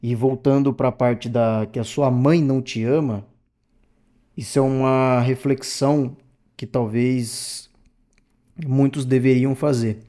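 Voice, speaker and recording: A man's voice: mid-range voice, fairly even intonation, takes it slowly, mellow. A man in his thirties from Brazil, talking in a casual, reflective monologue to his viewers, rambling and correcting himself as he goes. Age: 30s. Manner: rambling, self-correcting, addresses viewers directly. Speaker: opinion channel host.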